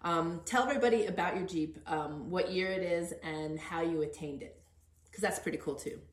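A middle-aged woman hosts a casual podcast in an earnest, encouraging way. Her pitch sits somewhat low for a woman, and the room adds a clear echo around her voice. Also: moderate pace. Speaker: podcast host. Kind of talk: casual conversational podcast interview.

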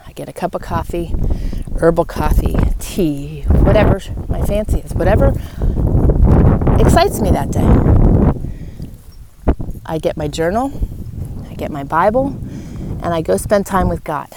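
A woman's voice: lowish voice, animated, unhurried, long pauses. A woman hosting a motivational, faith-led podcast monologue, speaking earnestly and encouragingly, with emphatic repeated phrases. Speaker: podcast host. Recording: clearly noisy background.

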